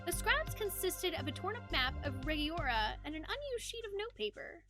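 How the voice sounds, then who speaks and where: Animated, high-pitched woman streaming a game. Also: a little fast, music in the background. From United States. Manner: animated, expressive, chatty. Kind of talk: livestream chat and reading game narration aloud. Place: indoors, game livestream with music behind.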